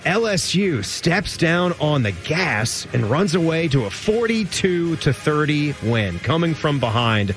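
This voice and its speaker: A man hosts a post-game college football radio show, running through scores from around the country. He talks fast and loose, with jokes between results. Faint music plays underneath. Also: on the high side. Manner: lively, rattles off scores and jokes. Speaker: radio sports host.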